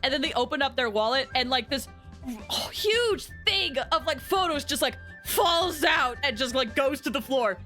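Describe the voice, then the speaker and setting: Woman game streamer, high bright voice, casual, animated, jokey, music in background. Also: moderate pace. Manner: animated, jokey, giggly, acts out imagined lines. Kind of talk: casual live-stream commentary.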